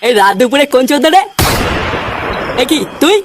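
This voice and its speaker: A performer with a pitched-up voice playing an abusive, suspicious village uncle in a comedy cartoon. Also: lively intonation, moderate pace, shouting, heavy background noise. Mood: outraged. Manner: scolding, swearing, dismissive. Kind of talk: Bengali comedy cartoon dubbing.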